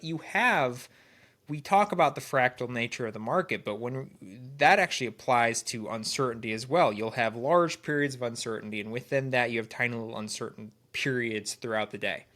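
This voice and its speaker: A man's voice: medium pitch, sharp tone. A man co-hosting a trading podcast, sounding nasal and congested from a cold, who often restarts phrases as he talks. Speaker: podcast co-host. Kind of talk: casual podcast chat.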